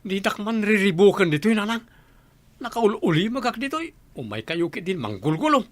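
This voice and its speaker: A man's voice: sits high, sing-song, brisk, no echo. A man acts out an irritable husband who has walked out on his family. He speaks with an Ilocano accent in radio drama style, stubborn and dismissive. The recording is dry. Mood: irritated.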